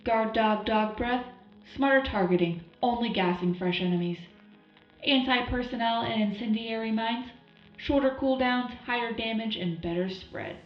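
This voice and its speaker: A woman's voice: a little high, moderate pace, reverberant, lo-fi sound, soft background music, lightly acted. A woman playing an upbeat, zealous wartime radio presenter, reading news in a punchy, propaganda-slogan style. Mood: upbeat, patriotic. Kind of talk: scripted in-universe radio news update.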